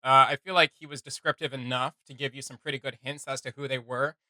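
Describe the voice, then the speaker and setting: A man co-hosting a casual reaction video, rambling with lots of fillers and quick laughs. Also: medium pitch, flat delivery, pushed and bright, no room sound.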